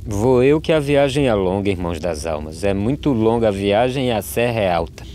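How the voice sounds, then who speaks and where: A man playing a solemn, weary drought migrant, reciting measured verse in an animated film. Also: lowish voice, a little hiss or hum, natural voice. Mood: solemn, resolved. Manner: measured, solemn, rhythmic delivery with falling refrains. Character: solemn male drought migrant, questioning, weary, plain-spoken. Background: music.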